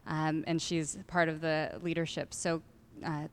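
A woman moderates a live panel, introducing questions in a smooth, slightly low voice. She keeps it brief and practical. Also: flat delivery, a little slow.

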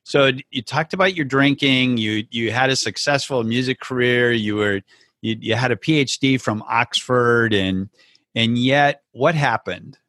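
A man's voice: medium pitch, measured pace, a bit bright, dry recording. Man hosting in a dry close voice. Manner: affirming, repeats words as he starts sentences. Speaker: podcast host. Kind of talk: conversational interview.

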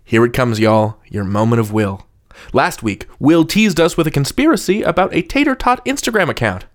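A man's voice: medium pitch, big pitch swings, moderate pace. A man hosting a podcast, moving between friendly chat and scripted announcements. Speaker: podcast host.

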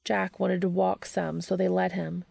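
A woman reads a story aloud in a lowish voice full of heavy vocal fry. The recording is close and dry, and she delivers scripted prose as an audiobook narrator. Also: little pitch movement, measured pace, a little mellow, no room sound. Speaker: fan fiction audiobook narrator.

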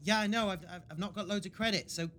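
An actor plays an earnest teenage boy in an audio drama. The voice is light for a boy, halting and repeating words as he argues his case. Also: medium pitch, a bit bright, slight background noise, natural voice. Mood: pleading, stubborn. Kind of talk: naturalistic audio drama dialogue.

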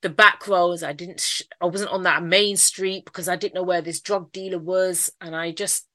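A woman's voice: lowish voice, steady tone, normal speed, bright, forceful tone, no room sound, studio quality. A woman with a Mancunian accent, telling her life story candidly in an interview, repeating phrases as she goes. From Manchester, England. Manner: candid storytelling, frequent repeats, occasional laughs. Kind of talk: personal life story in conversation.

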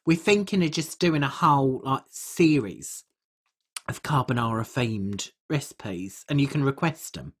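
A podcast co-host with a medium-pitched voice talks in a casual, comic style. The delivery is chatty and rambling, with colloquial grammar that keeps it informal. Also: animated, measured pace, slightly rough.

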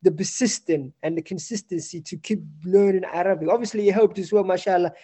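Chatty male host with a high voice, reflective, laughs easily, keeps affirming. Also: a little fast, mellow, slightly rough. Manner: chatty, reflective, laughs often, frequent restarts; affirms constantly with short yeahs. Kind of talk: casual conversation.

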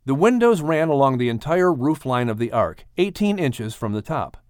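Lively male audiobook storyteller, close and dry. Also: medium pitch, moderate pace, no echo. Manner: expressive, theatrical delivery of a children's adventure. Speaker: audiobook narrator. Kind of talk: dramatic scripted storytelling.

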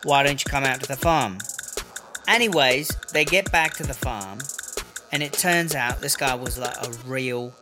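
A man's voice: mid-range voice, normal speed, natural voice. A man playing a brash, chatty, foul-mouthed prison inmate with a British accent in a comedy audio drama. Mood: chatty, amused. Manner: rambling, slangy, sweary. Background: music.

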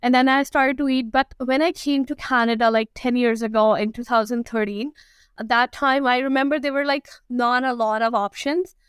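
A woman's voice: on the high side, fairly even intonation, moderate pace, clean tone, dry recording. A woman in her thirties from Pakistan with a Pakistani accent, answering interview questions casually with many fillers and restarts. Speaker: guest, food blogger.

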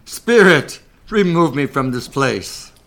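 A man's voice: a little high, very animated, slow, fairly bright tone, full character voice. A man playing an emotional, remorseful old miser in a radio drama, with exclamatory, agitated delivery. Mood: anguished pleading. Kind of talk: live community radio drama acting.

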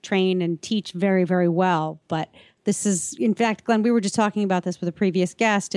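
A woman's voice: medium pitch, darkish tone, no echo, studio quality. A woman co-hosting a podcast, chatting conversationally with a guest. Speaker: podcast co-host. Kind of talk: conversational interviewing.

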